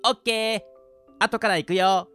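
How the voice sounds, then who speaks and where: A man's voice: sits high, fairly even intonation, normal speed, pushed and bright, soft background music. A man playing a careless, breezy young male student in an amateur radio drama. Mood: sheepish, bemused.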